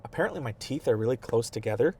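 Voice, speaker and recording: A man's voice: medium pitch, lively intonation, quick pace, darkish tone, breathy edge. Chatty man in his thirties. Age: 30s. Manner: chatty, rambling with frequent restarts. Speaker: priest guest. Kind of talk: casual chat.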